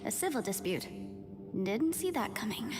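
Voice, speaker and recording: An earnest young legal advisor from a video game. Her high voice is thoughtful and animated. Music plays clearly behind her. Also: normal speed. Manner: thoughtful, articulate.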